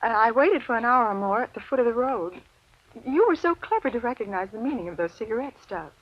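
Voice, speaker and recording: An actress playing a genteel, coolly calculating widow who feigns grief, with poised, precise diction in an old radio drama. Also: female voice, a little high, animated, a little fast, dry recording. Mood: feigned distress. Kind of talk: 1940s-50s radio drama acting, first-person narration. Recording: dull vintage radio recording with music.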